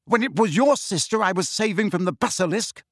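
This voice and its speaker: An angry teenage wizard boy shouts his friends down, defiant and urgent, as a male audiobook reader puts him on. The voice lifts high and swings wildly with each outburst. It sounds close and dry. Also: lively intonation, brisk, dry recording. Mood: furious.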